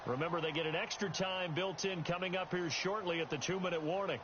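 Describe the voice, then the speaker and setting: A man's voice: high voice, fairly even intonation, brisk, lightly acted. A man calling a football game as a play-by-play commentator, stressing the big plays. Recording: muffled game stream, loud background noise.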